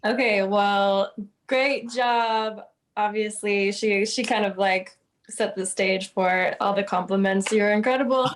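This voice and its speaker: A woman's voice: mid-range voice, steady tone, unhurried, a little hiss or hum. A woman industry guest giving casual, enthusiastic feedback on a performance. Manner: enthusiastic, loose with fillers.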